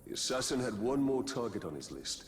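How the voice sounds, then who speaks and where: A man's voice: medium pitch, sing-song, brisk, slight background noise, lightly acted. A man playing a grim, determined ranger warrior in a video game, speaking in a terse, grave, purposeful way. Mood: grim, resolute. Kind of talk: solemn video game cutscene acting.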